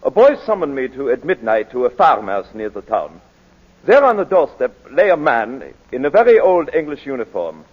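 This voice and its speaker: An actor playing a grave middle-aged country doctor, formal and deliberate in an old radio drama. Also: male voice, mid-range voice, sing-song. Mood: solemn, dramatic. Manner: deliberate, formal, theatrical phrasing with dramatic pauses. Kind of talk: 1940s radio drama acting. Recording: dull 1940s radio broadcast recording.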